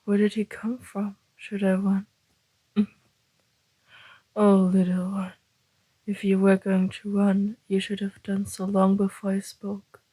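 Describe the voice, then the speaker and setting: A woman playing a suave, teasing male vampire in an ASMR roleplay, speaking in a breathy, deliberate, flirtatious half-whisper. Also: medium pitch, monotone, warm tone, no room sound, rough recording. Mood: teasing, intrigued.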